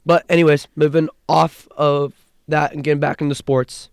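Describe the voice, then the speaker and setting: A man's voice: a little high, little pitch movement, normal speed, creaky, clean tone, dry recording, clean studio sound. A young man who stutters, co-hosting a casual sports podcast in an earnest way, often restarting and repeating words. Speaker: podcast co-host.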